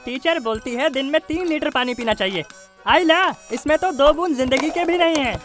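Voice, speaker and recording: A woman voicing a cheeky, scheming, excitable little boy in a comedy cartoon. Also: high-pitched, normal speed, faint music behind, close to an everyday voice. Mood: exasperated, excitable. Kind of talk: Hindi comedy cartoon voice acting.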